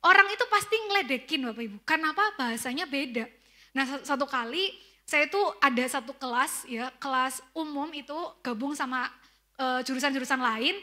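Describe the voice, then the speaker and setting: A young woman with a Tegal Javanese accent preaches to her congregation, her voice high and bright. She tells stories in a lively way, with quoted asides, and keeps speaking straight to the listeners. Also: fairly quick. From Central Java, Indonesia. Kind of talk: sermon.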